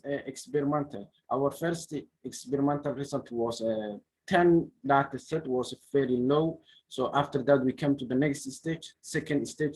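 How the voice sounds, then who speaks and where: A man from Somalia presents his research to an online session. His voice sits a little high, and his nonnative English moves haltingly, full of restarts and searching pauses. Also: breathy edge, rough recording. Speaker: conference presenter. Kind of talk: academic presentation.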